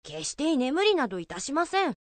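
A woman voicing an eager, obedient young temple novice in a folk tale radio drama, with polite, quick replies. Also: high voice, sing-song, no room sound.

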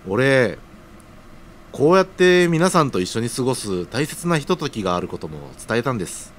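A man's voice: medium pitch, big pitch swings, moderate pace, slight background noise, natural voice. A man acting an earnest, humble young junior worker in an audio drama, speaking casually but deferentially. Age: young adult.

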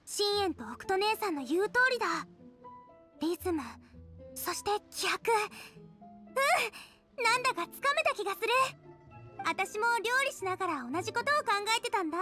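A woman's voice: extremely high pitch, exaggerated voice. A woman voicing a cheerful, earnest teenage girl chef in a Japanese anime game, girlish and eager. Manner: bright-eyed, eager, girlish.

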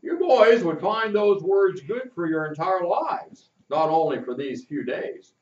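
A man's voice: high voice, very animated, unhurried, a little mellow, roomy sound, distant mic, highs cut off, voice pushed up. An older man reading a storybook aloud, voicing a kindly camp leader captain in a raised, thoughtful, fatherly voice.

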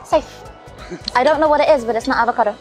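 Young woman, kinda high voice, chatty n open, casual interview w faint music behind. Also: quick pace. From Caribbean, later England. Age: 20s. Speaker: interview guest. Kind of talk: casual chat over afternoon tea. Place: spacious hotel lounge restaurant, indoors. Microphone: small recorder or phone close across the table.

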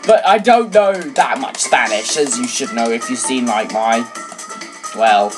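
A teenage girl whose first language is French, chatting excitably and rambling while playing a video game, with sudden shouts. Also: a bass voice, unhurried. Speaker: let's play gamer. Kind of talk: casual game commentary. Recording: loud game music under voice.

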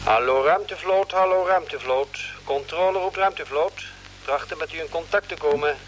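An actor playing a formal mission control operator calling over space radio in clipped phrases, in old Dutch radio drama style. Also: male voice, a little high, normal speed, low-quality recording. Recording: hissy vintage radio play recording.

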